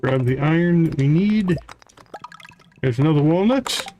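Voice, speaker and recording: A man's voice: on the high side, expressive, a little slow, warm tone, a little hiss or hum. A man hosting a live game stream, giving casual commentary and thinking aloud as he plays. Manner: thinks aloud while playing.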